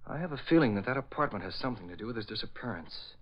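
Vintage radio lead: brisk, impatient American newspaper man, lowish voice, dull recording. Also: lively intonation. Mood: concerned. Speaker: lead actor. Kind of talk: 1940s radio acting.

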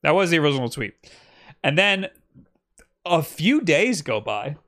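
A man's voice: fairly high pitch, sing-song, measured pace, a bit bright. A young man co-hosting a casual podcast, rambling a little as he reads listener comments aloud. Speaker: podcast co-host.

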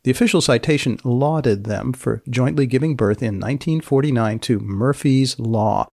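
A man hosting a podcast, reading scripted narration in a measured, deliberate, dryly deadpan way. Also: medium pitch, gravelly, dry recording, clean studio sound. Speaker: podcast host.

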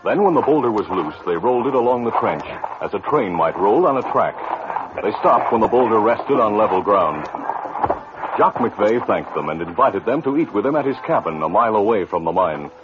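Low-voiced man narrating vintage radio drama. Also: very animated, a little fast, noisy background. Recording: muffled old radio recording with music.

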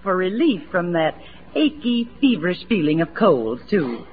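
An actress playing an earnest, chatty housewife giving an emphatic testimonial in a 1940s radio commercial, on a lo-fi recording. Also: female voice, on the low side, big pitch swings, a little slow, slightly rough, exaggerated voice. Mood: earnest, eager. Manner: emphatic scripted testimonial delivery. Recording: tinny, lo-fi old radio recording.

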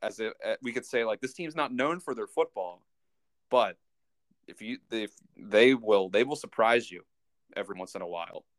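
A man hosting a casual sports podcast, talking in a halting way as he thinks out loud. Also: on the low side, dry recording.

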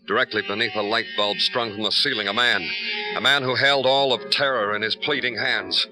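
A male actor plays a determined American cop with a low voice and terse, hard-boiled delivery. It is vintage radio crime drama, with music clearly behind him. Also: close to an everyday voice, rough recording. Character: tough, brooding, determined police detective narrating his case.

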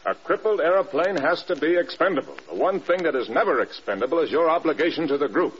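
An actor playing a stern, demanding American air force general in clipped, blunt classic radio drama style. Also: male voice, on the high side, big pitch swings, quick pace, slight background noise. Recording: dull, band-limited old radio recording.

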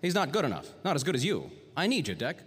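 Gruff noir police boss, fifties, slangy tough guy, lively pitch, reverberant hall. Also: fast, full character voice. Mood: insistent, urging. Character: gruff, bullying police captain in his mid-fifties. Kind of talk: 1940s noir radio acting.

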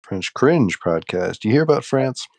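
A man's voice: medium pitch, very animated, measured pace, darkish tone, dry recording. A young man co-hosting a podcast, chatting casually with dry, offhand, blunt opinions. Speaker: podcast co-host. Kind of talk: casual chat.

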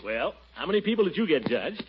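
An actor playing a pompous, blustering middle-aged bachelor uncle in a 1940s radio comedy. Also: male voice, fairly high pitch, sing-song, quick pace. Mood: blustery. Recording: dull old radio recording with heavy hiss.

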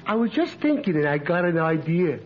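An actor playing a dim-witted, good-natured barfly with a halting New way of talking, in old radio comedy style. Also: male voice, fairly high pitch, big pitch swings, highs cut off, crowd noise. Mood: dopey, hopeful. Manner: dopey, halting. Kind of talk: 1940s radio comedy acting. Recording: noisy old radio recording before live audience.

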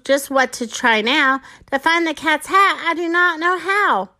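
Lady doing a little boy in a kids book, unsure, high bright voice. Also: unhurried. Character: sleepy, hesitant young storybook boy on night adventure.

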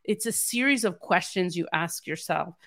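A woman's voice: fairly low pitch, normal speed. A middle-aged woman telling personal stories in conversation as a podcast guest and leadership coach, acting out what people said. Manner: animated, acts out quoted dialogue.

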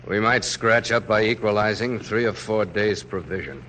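An actor playing a brisk, loyal, plainspoken military aide with a dry manner in classic radio drama. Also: male voice, lowish voice, a bit bright, natural voice. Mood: dutiful. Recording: noisy old radio recording.

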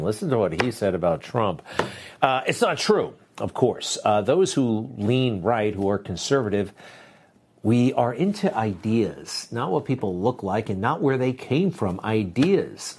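Animated male news commentator. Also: fairly low pitch, husky. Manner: animated, sarcastic, dismissive asides. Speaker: news show host. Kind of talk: opinionated commentary.

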